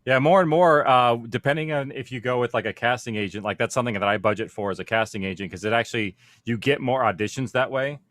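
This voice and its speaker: A man's voice: mid-range voice, fast talker, a bit bright, no echo. Man explaining on a panel, dry sound. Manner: informal, explanatory. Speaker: panelist, audio producer. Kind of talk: conference panel talk.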